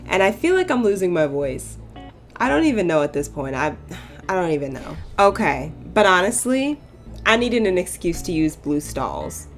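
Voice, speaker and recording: A young woman chatting casually over her game build, her voice a bit hoarse and cracking, rambling into playful asides. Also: fairly low pitch, big pitch swings, normal speed. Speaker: sims builder YouTuber. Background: soft background music.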